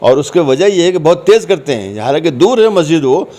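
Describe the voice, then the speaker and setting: A man's voice: on the high side, sing-song, a little fast, warm tone. A man answering interview questions conversationally, explaining his points and repeating phrases for emphasis. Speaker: interview guest, commentator.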